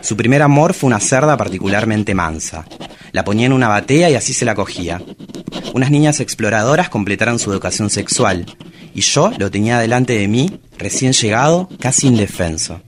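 A man's voice: on the low side, expressive, brisk, close to an everyday voice. A man playing a self-dramatizing, bawdy travesti poet with an Argentine accent in a radio drama, theatrical, provocative and tender. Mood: teasing, seductive. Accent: Argentine Spanish. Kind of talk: radio drama monologue and dialogue.

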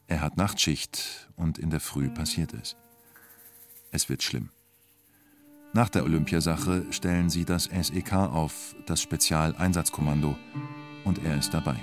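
Low-voiced male documentary narrator. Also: measured pace, soft background music.

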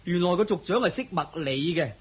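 A man's voice: high voice, lively intonation, a little fast, breathy edge. A man playing an eager, deferential go-between in a Cantonese radio drama, busy in manner, with a Hong Kong accent. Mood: breathless reporting. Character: eager, fussy servant go-between called Ah Yau.

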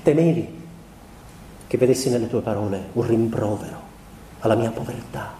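An actor playing a melancholy young husband in a radio theatre monologue, confessing by letter to his wife in a measured, deliberate way. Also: lowish voice, mellow, natural voice. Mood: resigned regret. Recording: roomy, distant stage recording with background noise.

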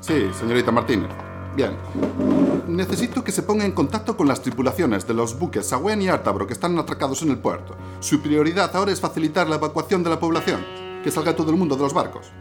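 A man plays a weary naval commander in a period radio play. He speaks fast and formally, courteous yet bitter, with music clearly behind him. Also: a little high, lively intonation, lightly acted. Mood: bitter resignation. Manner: formal, clipped authority. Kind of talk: period audio drama acting.

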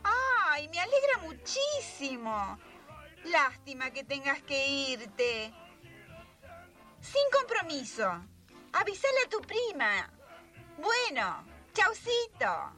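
A busybody Argentine dressmaker in a radio drama. Her voice is high and bright, swooping up and down as she gushes through neighbourly chatter. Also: sing-song, takes it slowly, faint music behind, heavily acted. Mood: gushing, disappointed. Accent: Argentine, Rioplatense.

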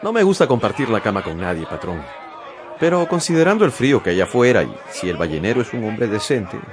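An actor playing a wary young sailor looking for lodging in a radio drama. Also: male voice, on the low side, very animated, brisk. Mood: reluctant. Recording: music and crowd noise behind.

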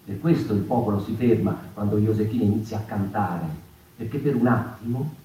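An actor playing a rambling, impassioned storyteller in a conversational theatre monologue, digressing and seeking agreement. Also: male voice, fairly low pitch, fairly quick, warm tone, lightly acted. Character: rambling, impassioned male storyteller of the mouse folk. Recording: echoey, distant, noisy live theatre recording.

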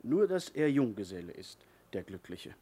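A man's voice: on the low side, very animated, moderate pace, dark tone, slightly rough. An actor playing a thrifty, self-assured male business owner in a 1970s radio play, offhand and slightly defensive.

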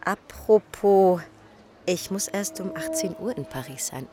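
An actress playing a concerned, direct confidante in a radio drama, with a cautioning manner. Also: female voice, lowish voice, measured pace, warm tone, natural voice. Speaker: actor in a radio crime drama.

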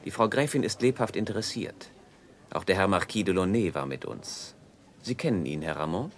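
Deep-voiced suave aristocrat. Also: male voice, expressive, normal speed. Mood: commanding politeness. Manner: formal, polished, gallant. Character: suave, courtly, self-assured aristocratic chevalier. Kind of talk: theatrical German radio drama acting.

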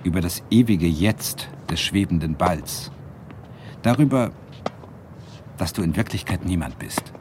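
A man's voice: low-pitched, lively intonation, a little slow, long pauses, noisy background. An actor playing a reflective Swedish lecturer who narrates his own story in a radio drama. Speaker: narrator and lead character, a lecturer.

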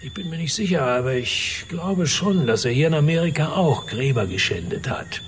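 A man's voice: on the high side, lively intonation, normal speed, lightly acted. An actor playing a crude, boastful, middle-aged wealthy father in a radio drama, with a mocking, theatrical manner. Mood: grim recounting.

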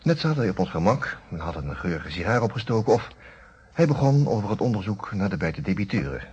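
An actor in a radio play playing a lawyer who narrates a detective story in a measured storytelling manner. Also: male voice, fairly low pitch, very animated, darkish tone, breathy edge, full character voice. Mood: reflective. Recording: dull, band-limited old radio recording.

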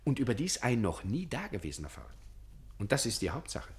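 Pompous, swooping radio-play bureaucrat. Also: male voice, mid-range voice, big pitch swings. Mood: cautious, evasive. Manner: pompous, dithering, officious, drawn-out phrasing. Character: self-important, evasive senior Russian civil servant, a director. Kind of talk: German radio play acting.

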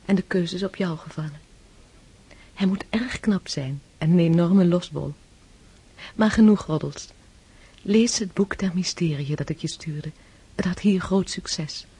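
A woman's voice: lowish voice, unhurried, long pauses, warm tone, husky, heavily acted. An actress playing a romantic, gossipy young society lady, reading out a letter in a confiding way in a Dutch radio drama. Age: young adult.

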